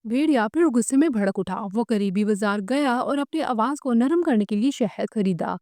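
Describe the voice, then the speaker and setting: A woman's voice: fairly high pitch, expressive, moderate pace, a little mellow, no echo, clean studio sound. A woman storyteller reading a scripted tale as a narrator.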